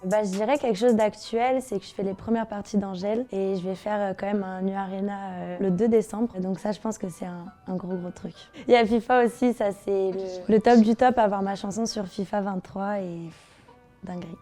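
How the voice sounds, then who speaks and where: A woman in her twenties from Brazil, a singer talking casually about her career, relaxed and slangy, often hesitating. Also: medium pitch, fairly quick, faint music behind. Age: 20s. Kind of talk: casual interview answers.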